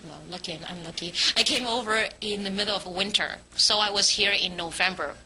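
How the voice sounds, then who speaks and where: A woman from Hong Kong chats as an interview guest, telling her story with many small restarts. Her voice is very bright and sharp. A faint hiss of background noise sits under it. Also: mid-range voice, fairly quick, rough recording. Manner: chatty, storytelling with frequent restarts. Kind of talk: casual interview chat.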